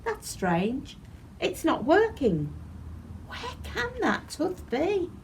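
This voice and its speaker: A woman's voice: high-pitched, wildly swooping pitch, drawn-out words, vocal fry, a little mellow, a little hiss or hum, voice pushed up, full character voice. A woman voicing a cheerful, helpful little tooth fairy in an eager, sing-song way for a storybook. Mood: caring, puzzled.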